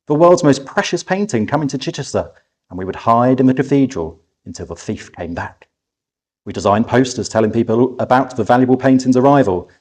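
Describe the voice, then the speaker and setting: A man reading a children's story aloud as an expressive narrator, with animated delivery and dramatic pauses. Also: mid-range voice, husky. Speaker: author reading a competition-winning story aloud.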